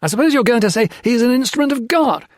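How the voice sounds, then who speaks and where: A man reading a story voices a young officer who rants and shouts in hysterical fury. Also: very high voice, expressive, fast, no room sound, clean studio sound, voice pushed up. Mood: contemptuous. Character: young British officer, feverish, furious, ranting.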